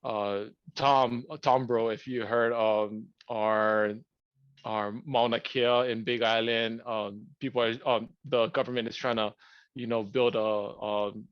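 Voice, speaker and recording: A man's voice: mid-range voice, little pitch movement, smooth, no echo, highs cut off. Rambling man. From Hawaii. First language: Hawaiian. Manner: thoughtful, rambling, many pauses and restarts. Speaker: podcast co-host. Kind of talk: casual podcast chat.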